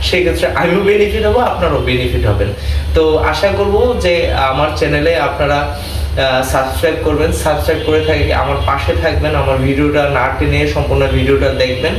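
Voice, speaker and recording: A man with a slightly high voice presents a casual how-to for his channel. He explains each step patiently and repeats himself as he goes. The sound is echoey and picked up from a distance, with clear background noise. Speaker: motorbike rider and video channel host. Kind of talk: casual spoken tutorial. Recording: echoey, distant mic, noisy ambience.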